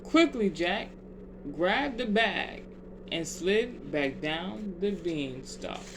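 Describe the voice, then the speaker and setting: A storyteller reading a children's bedtime tale aloud in deliberate, measured phrases. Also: mid-range voice. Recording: clear steady background noise.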